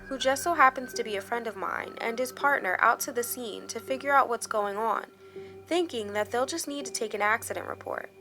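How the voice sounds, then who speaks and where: Higher-pitched woman narrating, slightly creaky voice. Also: bright, forceful tone. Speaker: horror story narrator. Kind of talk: scripted dramatic first-person narration. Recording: background music under the voice. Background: music.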